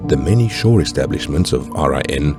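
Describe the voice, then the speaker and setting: A man narrating a documentary in a measured, grave delivery. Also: very deep voice, animated, vocal fry, over music. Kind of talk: scripted narration.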